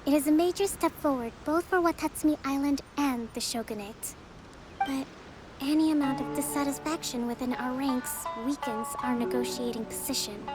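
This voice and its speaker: An actress playing a young priestess-leader who speaks in a calm, measured, reassuring way, in a video game. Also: female voice, high-pitched, close to an everyday voice, low-quality recording. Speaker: divine priestess of an island. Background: game music.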